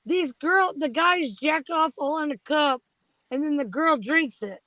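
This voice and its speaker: A woman chatting crudely and excitably on a casual panel. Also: high-pitched, measured pace, no room sound.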